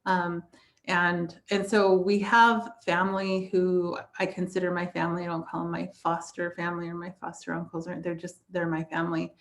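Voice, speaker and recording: Woman, forties, American accent, slightly low pitch, flat intonation, reflective panel talk. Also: normal speed. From Navajo Nation, southwestern United States.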